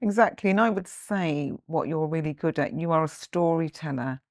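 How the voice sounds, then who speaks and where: A woman's voice: sits low, normal speed, no room sound, clean studio sound. A woman hosting an interview, reading an introduction and asking conversational questions with small restarts. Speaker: podcast host.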